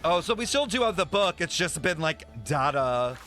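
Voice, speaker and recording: A man streaming his reaction to a game, excitable and campy, swearing freely and saying "like" constantly. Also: fairly high pitch, moderate pace, soft background music. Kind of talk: casual reaction commentary.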